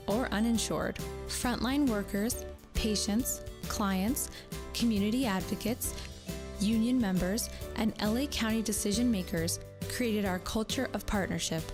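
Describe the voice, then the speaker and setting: A woman reads scripted narration clearly and evenly. Music runs underneath. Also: mid-range voice.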